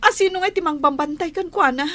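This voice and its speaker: A woman's voice: very high voice, fast talker, sharp tone, no echo. A woman acting in a radio drama as an anxious but determined young pregnant wife. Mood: anxious, upset.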